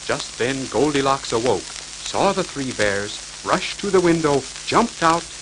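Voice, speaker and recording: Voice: mid-range voice, expressive, a little slow. A storyteller reading scripted fairy tales to children on a crackly 1940s record.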